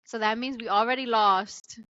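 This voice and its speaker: A woman's voice: a little high, steady tone, normal speed, bright, forceful tone, no echo, highs cut off. A woman chatting casually as a podcast co-host, with a slangy, playful and opinionated way of talking. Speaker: book club podcast co-host. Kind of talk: casual chat.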